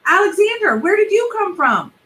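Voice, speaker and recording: A dramatic young boy from a storybook, urgent and insistent, his voice pitched high and sharp, as a woman reads him. He pushes every line with pleading force. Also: very animated, moderate pace, slight background noise, heavily acted. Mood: impatient.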